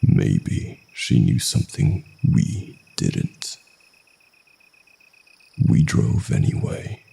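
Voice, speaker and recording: A man's voice: extremely low pitch, sing-song, extremely slow, gravelly, warm tone, breathy edge. A man reading a scripted first-person horror story as its narrator.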